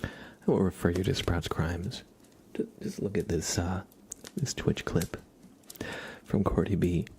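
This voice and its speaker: A man hosting an ASMR livestream in a gentle, hushed voice that often drops into a whisper, close to the microphone. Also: deep voice, steady tone, measured pace, long pauses, a little hiss or hum. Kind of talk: ASMR, soft-spoken and whispered livestream chat.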